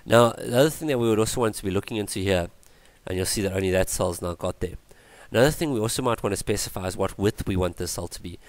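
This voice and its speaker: A man's voice: fairly low pitch, fast talker, few pauses, dry recording. A man teaching a coding tutorial over a screencast, thinking aloud with fillers and self-corrections. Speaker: tutorial instructor.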